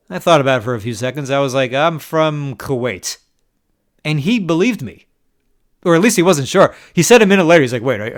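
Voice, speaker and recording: A man from New York telling poker table stories in a wry, exasperated way, with short laughs. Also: medium pitch. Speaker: poker vlogger. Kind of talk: casual storytelling monologue.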